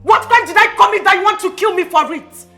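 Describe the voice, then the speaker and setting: An actress with a Nigerian accent playing a defiant, fed-up wife in a melodramatic film, forceful and emphatic. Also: female voice, very high-pitched, fast talker, vocal fry, music in the background. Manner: forceful, emphatic, talks back.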